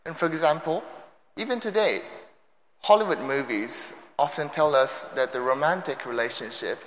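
A man's voice: a little high, expressive, normal speed, fairly bright tone, slight background noise. Young Japanese-accented man in echoey hall. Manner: light self-deprecating humor. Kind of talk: sermon talk. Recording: echoey hall with long reverb.

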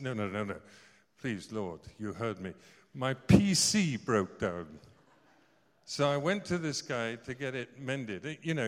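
Older guy preaching in a big church, tells stories, cracks little jokes, lots of echo. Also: medium pitch. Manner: storytelling with joking asides. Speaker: visiting preacher. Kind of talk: sermon. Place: church service hall. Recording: long reverb of a large hall.

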